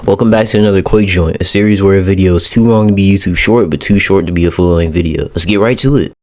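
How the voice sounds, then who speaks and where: A man's voice: low voice, fast, a little mellow, slight background noise. A young American man giving casual, slangy scripted commentary as a video host, with joking asides. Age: young adult. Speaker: YouTube host reviewing indie animation.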